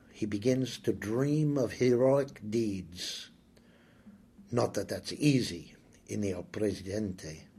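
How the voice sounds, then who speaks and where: A man reading a first-person story aloud, slow, brooding and intimate. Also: mid-range voice, darkish tone, husky. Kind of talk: scripted first-person audiobook narration.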